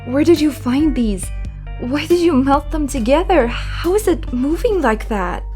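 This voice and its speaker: A woman's voice: sits high, expressive, a little slow, darkish tone, soft background music. An actress playing a defiant young woman held captive in a comic dub, challenging and sardonic. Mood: shocked, probing. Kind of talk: scripted comic dub acting.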